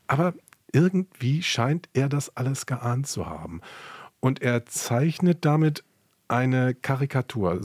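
A man's voice: medium pitch, darkish tone, slightly rough, dry recording, studio quality. A man co-hosting a history program in a colloquial, questioning and emphatic conversational manner. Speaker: co-host of a history podcast. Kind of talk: conversational radio commentary.

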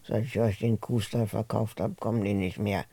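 A woman's voice: rumbling low, steady tone, normal speed, dark tone, slightly rough, no echo, exaggerated voice. An actress playing an ailing, stubborn old village farm woman, weak and muttering, in a naturalistic radio drama. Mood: querulous, weary. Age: older. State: weak, bedridden.

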